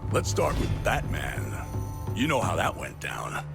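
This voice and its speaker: An actor playing a hardened, world-weary middle-aged mercenary narrating dryly in a video game, over loud music. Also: male voice, deep voice, wildly swooping pitch, unhurried, vocal fry, heavily acted. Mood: wry. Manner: dry, reflective narration.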